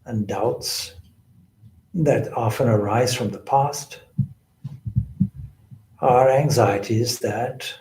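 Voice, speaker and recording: A man gives guided spiritual instruction at a very slow pace. His phrasing is deliberate and measured, broken by pauses. His mid-pitched voice echoes in the room. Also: dark tone. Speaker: meditation teacher, swami.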